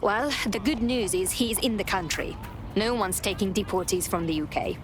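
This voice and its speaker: Earnest female operative, mid-pitched, acted. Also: moderate pace, background noise, close to an everyday voice. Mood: focused, guarded. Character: determined, concerned female undercover resistance operative. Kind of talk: video game dialogue. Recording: music behind.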